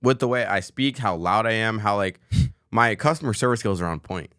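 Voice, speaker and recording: A young man co-hosting a casual chat, talking firmly and assertively in a deep voice. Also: a bit bright, smooth, no room sound, studio quality. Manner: fast, firm, assertive talker. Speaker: podcast co-host.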